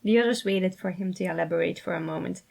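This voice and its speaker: A woman's voice: on the low side, quick pace, vocal fry, darkish tone. A woman reading scripted story narration, as the writer telling her own fiction.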